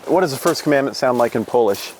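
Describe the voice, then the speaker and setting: A man's voice: mid-range voice, expressive, fast talker, a little hiss or hum, rough recording. A man hosting a show, asking curious, reflective questions while being shown around a monastery garden. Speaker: host interviewing a monk. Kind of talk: curious casual interview.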